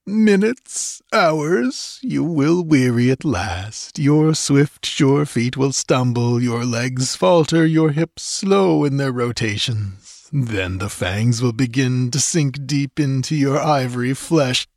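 A man's voice: mid-range voice, animated, slow pace, few pauses, darkish tone, dry recording, studio quality, pitched above the performer's own voice. A man voicing a cruel male sorcerer who taunts his victim in a mocking, gloating way. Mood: taunting.

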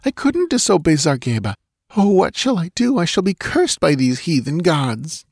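A man's voice: a little high, moderate pace, a little mellow, no room sound, clean studio sound, pitched above the performer's own voice. A man voicing a terrified young dancing girl in an audiobook, with a whimpering, pleading, breath-catching delivery. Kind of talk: dramatised audiobook character voice.

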